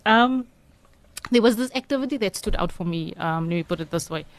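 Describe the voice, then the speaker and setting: A South African woman in her forties, a radio studio guest, telling her story nervously and haltingly with many fillers and restarts. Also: medium pitch, lively intonation. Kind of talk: personal interview talk. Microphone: studio microphone.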